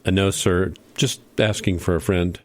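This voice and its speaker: A man's voice: deep voice, fairly even intonation, moderate pace, no echo, clean studio sound, natural voice. A man playing an eager, bumbling middle-aged dad who hosts a family show in a comedy radio play, earnest and a bit hesitant. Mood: hesitant, polite.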